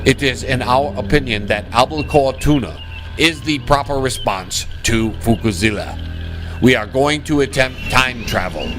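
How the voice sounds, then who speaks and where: A man acts out a bold space opera captain with a medium-pitched voice. He delivers clipped, deliberate orders in hammy sci-fi parody style, over loud music. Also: lightly acted. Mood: commanding, resolute. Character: commanding, theatrical starship captain, parody space opera hero. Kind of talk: sci-fi parody audio drama acting.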